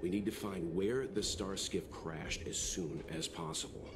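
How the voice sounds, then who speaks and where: Deep-voiced, composed game adventurer. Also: male voice, measured pace, background noise. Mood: serious, urgent. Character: calm, serious, protective adult male adventurer. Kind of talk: video game voice acting. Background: game music.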